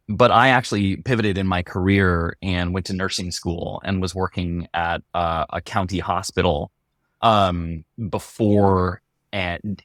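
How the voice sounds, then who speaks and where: Male, deep voice, dry sound. Candid interviewee, halting and thoughtful delivery. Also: a bit bright, no echo. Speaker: voice actor and comedy performer.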